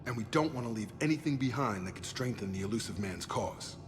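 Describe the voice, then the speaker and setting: A man's voice: fairly low pitch, lively intonation, brisk, faint music behind, lightly acted. Man playing a plain-spoken soldier, long reverb. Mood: focused. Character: frank, loyal adult male soldier ally. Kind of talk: video game voice acting.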